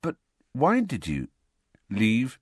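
Audiobook boy, acted by a man: teenage, inquisitive, sincere, higher animated voice. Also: slow pace, breathy edge, dry recording, clean studio sound, full character voice. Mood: curious. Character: curious, earnest teenage boy. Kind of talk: audiobook character voice.

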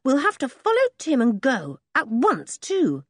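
A woman reading an audiobook voices a sensible young boy who speaks in a brisk, decisive way. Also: sits high, huge pitch swings, husky, no room sound, studio quality, pitched above the performer's own voice, full character voice. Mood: urgent.

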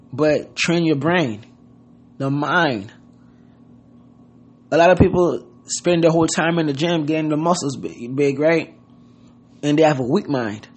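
A man from Haiti giving an earnest motivational talk, loosely worded and full of "you know what I mean.". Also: a little high. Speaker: podcast host. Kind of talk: casual motivational monologue.

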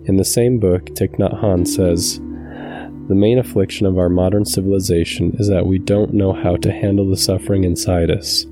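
A man guiding a meditation from a script in a calm, measured, soothing way. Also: deep voice, music in the background. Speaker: meditation guide, channel host. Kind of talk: scripted guided meditation narration.

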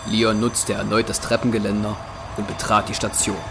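A man's voice: on the low side, little pitch movement, normal speed, vocal fry, over music. A man reading scripted narration as the narrator of a German audio drama.

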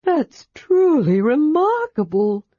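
A woman voicing a cheerful, chatty young male kender, eager and quick to take offense, in a storybook reading. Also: a little high, slightly rough, dry recording, pitched above the performer's own voice, full character voice. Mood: awed. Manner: eager, indignant, excitable. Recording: dull lo-fi recording.

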